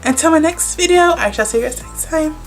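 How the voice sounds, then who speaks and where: A woman's voice: high-pitched, lively intonation, fairly quick, faint music behind, poor audio. A young woman vlogger with a congested, stuffy voice from a cold, chatting casually and rambling with lots of "like" and "um" as she unboxes beauty products. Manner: chatty, rambling, many likes and ums.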